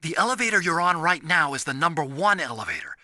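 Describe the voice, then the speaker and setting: An earnest, boyish engineer from a video game, high and lively in pitch. He sounds soft-hearted and anxious, with a very sharp, dry sound. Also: fast, no echo, heavily acted. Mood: cautionary.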